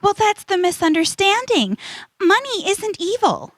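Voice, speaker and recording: A very high, animated young adventurer, played by a woman, earnest and sensible with a readiness to be amazed. The delivery is that of a children's audio drama, recorded dry and close. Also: a little slow, no room sound, heavily acted. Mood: earnest, explaining. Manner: earnest, expressive, stammers in disbelief.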